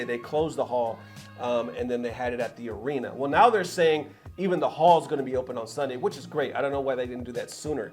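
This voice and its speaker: A man from the San Francisco Bay Area talking casually and with animation to viewers as a gaming news host, with slangy, sweary phrasing. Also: mid-range voice. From San Francisco Bay Area, California. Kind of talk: casual opinionated vlog talk to viewers. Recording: music playing behind the voice. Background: background music.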